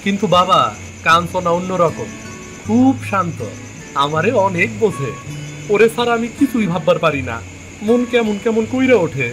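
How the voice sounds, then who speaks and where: A man's voice: high voice, lively intonation, normal speed, a bit bright, faint music behind. A man playing a timid, lovesick young fishmonger in a cartoon, pleading and hesitant, with an eastern Bengali accent. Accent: eastern Bengali (Bangladeshi). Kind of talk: dramatic cartoon voice acting.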